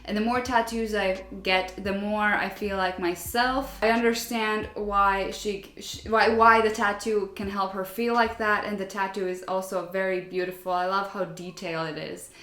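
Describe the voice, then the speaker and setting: A woman's voice: mid-range voice, steady tone, normal speed, hardly any pauses, a bit bright, reverberant. A young woman who is not a native English speaker chats casually while reading out viewer stories, relating to them and laughing often. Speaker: vlogger.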